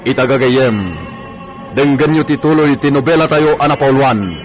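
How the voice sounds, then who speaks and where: A man's voice: medium pitch, steady tone, moderate pace. A man with a Filipino accent giving scripted dramatic narration for a radio serial over music.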